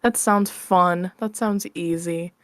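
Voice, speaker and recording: A woman's voice: on the low side, fairly even intonation, takes it slowly, a bit bright. A woman chatting casually as an interview guest, informal and self-deprecating. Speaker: interview guest, audio roleplay creator. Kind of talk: casual chat.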